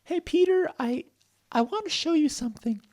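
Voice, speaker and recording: A man voicing a gentle young female storybook animal, anxious, caring and tender. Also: extremely high pitch, expressive, moderate pace, pitched above the performer's own voice.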